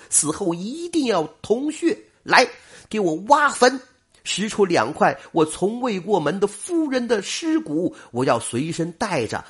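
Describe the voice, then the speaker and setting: An earnest young scholar-official, as a male storyteller puts him on, his voice lifted higher than the narrator's and pressing forward with fervent feeling. A husky grain stays under it, the sound of one teller playing every part in a folk tale. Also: full character voice.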